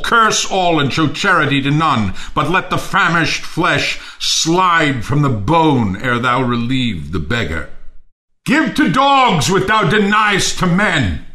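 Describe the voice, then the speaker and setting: A ruined, misanthropic lord in a Shakespearean audio play. His voice is high and forceful, leaping across a wide range as he declaims with a wild, unstable temper. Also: heavily acted. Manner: declamatory, volatile, swinging from murmurs to shouts. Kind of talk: Shakespearean stage-style audio drama acting.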